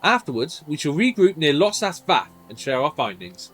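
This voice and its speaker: Man playing earnest young gentleman for fantasy game, formal, slightly pompous, higher pitched, animated. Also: moderate pace, husky, soft background music, heavily acted. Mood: composed, proper. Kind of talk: reading game dialogue in character.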